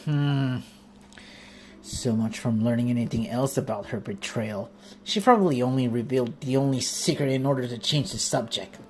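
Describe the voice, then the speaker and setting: A woman game streamer reading dialogue and commenting in a halting way on a noisy phone livestream. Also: very deep voice, darkish tone.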